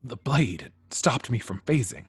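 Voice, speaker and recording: Man playing a gentle, very husky android. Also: medium pitch, animated, normal speed. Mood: grave. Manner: hesitant, earnest. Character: gentle, lovestruck, troubled male android hero. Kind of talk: amateur table read of a film script.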